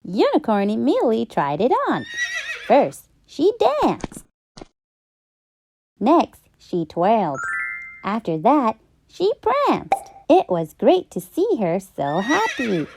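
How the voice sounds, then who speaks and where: A woman narrating a children's story in an expressive, theatrical and emphatic storytime style. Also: on the high side, gravelly, background noise. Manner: theatrical, emphatic, drawn-out delivery. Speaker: children's storybook read-aloud host. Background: background music.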